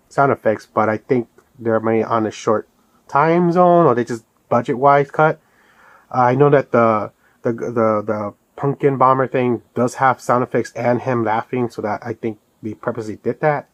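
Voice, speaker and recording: A man's voice: mid-range voice, no room sound. A man giving a casual, rambling product review as an enthusiastic fan of collectibles. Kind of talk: casual unboxing review vlog.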